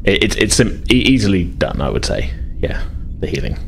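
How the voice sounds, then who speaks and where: A man improvising casually as a tabletop roleplay player, halting and informal, often repeating his words. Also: low voice, very animated, creaky, whispered.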